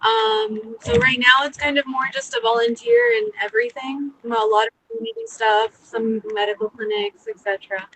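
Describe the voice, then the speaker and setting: American woman, young adult, raised pitch, informal self-introduction, slightly noisy recording. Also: sing-song, moderate pace, few pauses, pushed and bright. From United States. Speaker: community volunteer, medical graduate. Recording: clearly noisy background.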